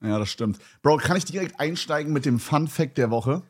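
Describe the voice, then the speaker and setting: A young man co-hosting a podcast, chatting in a rambling, excitable, slang-heavy way. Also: a little high, moderate pace. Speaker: podcast co-host. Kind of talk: casual podcast chat.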